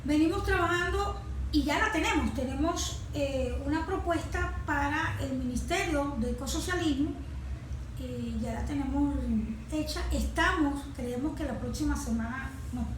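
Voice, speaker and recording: A Venezuelan woman answering as an interview guest, explaining proposals in a halting way with restarted phrases. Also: high-pitched. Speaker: interview guest, organization representative. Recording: very echoey room, clear background noise.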